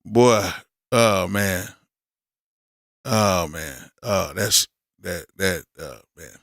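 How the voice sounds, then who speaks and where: A hoarse man talks loosely through a live beat review, reacting with blunt verdicts and plenty of exclamations. His voice is bright and forceful despite the wear. The sound is close and dry, with no room around it. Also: lowish voice, no room sound. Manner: candid, blunt, full of exclamations. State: hoarse, losing his voice from yelling. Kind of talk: casual live-stream commentary.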